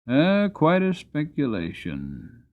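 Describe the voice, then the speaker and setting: A hearty old country grandfather, as a man acts him out. He is kindly and good-humoured, speaking deliberately with big swings of pitch. The storybook recording is a little dull. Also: huge pitch swings, full character voice. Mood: appraising. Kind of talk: dramatic audiobook character voice.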